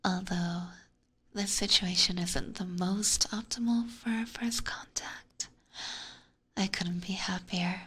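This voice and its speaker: A woman's voice: medium pitch, steady tone, takes it slowly, a bit bright. A woman playing an obsessive, possessive vampire catgirl in an ASMR roleplay, whispering closely and breathily with doting, menacing sweetness. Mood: adoring, possessive. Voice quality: breathy, mostly unvoiced whisper. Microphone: close binaural ear microphone.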